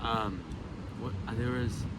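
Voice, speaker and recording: A teenage boy co-hosting a podcast in casual chat, halting with many restarts and fillers. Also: medium pitch, steady tone. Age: teens. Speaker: podcast co-host. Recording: loud background noise.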